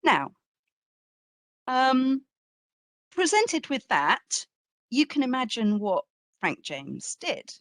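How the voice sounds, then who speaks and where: A woman's voice: high-pitched, big pitch swings, extremely slow, long pauses, fairly bright tone, dry recording, studio quality. A woman curator giving an explanatory, storytelling answer in an online talk, with emphatic phrasing. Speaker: exhibition curator, guest speaker.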